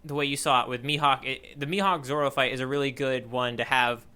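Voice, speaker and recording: A man co-hosting a podcast in casual discussion, thinking out loud in a halting way and rephrasing himself as he goes. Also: medium pitch, fairly even intonation, clean tone. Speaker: podcast co-host.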